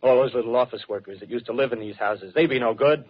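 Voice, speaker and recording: A dull, muffled old radio recording of a fanatical American soldier played by a male actor. His voice is husky and his talk is rough, clipped and insistent. He is a scheming survivor in a 1930s radio play. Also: medium pitch, quick pace, close to an everyday voice. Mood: fierce, insistent. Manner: colloquial tough-guy talk, clipped phrases, insistent.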